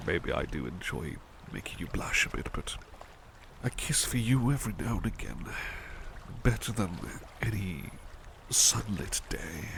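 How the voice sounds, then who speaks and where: Man acting a low, unhurried, doting butler. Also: creaky, background noise, exaggerated voice. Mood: tender, flirtatious. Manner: soft, hesitant, doting, murmuring to the listener. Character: devoted, tender male butler who loves painting. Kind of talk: ASMR roleplay.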